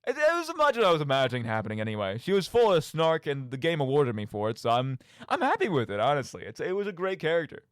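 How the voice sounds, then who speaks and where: A man's voice: on the high side, huge pitch swings, quick pace, hardly any pauses, clear, resonant tone, no echo, studio quality. A man hosting a radio show, giving casual solo commentary on games in an emphatic, rambling way, often interrupting himself.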